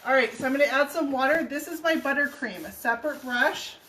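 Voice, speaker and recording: Hoarse woman chatting through a painting demo. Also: high-pitched, brisk, bright, forceful tone. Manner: chatty, laughs easily. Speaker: furniture painting host. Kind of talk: live painting tutorial. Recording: roomy, distant mic, faint noise.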